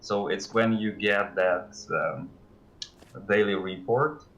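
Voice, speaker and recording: A man with a fairly low voice talks as a guest developer on a casual stream. He is polite and self-effacing, feeling his way through sentences with hesitant pauses. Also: fairly bright tone, muffled recording. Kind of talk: casual chat on a livestream. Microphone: voice call.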